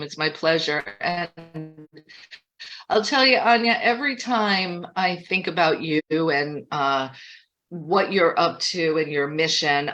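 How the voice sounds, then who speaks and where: Bright, upbeat woman hosting. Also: sits low, muffled recording. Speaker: host. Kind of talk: webinar interview hosting.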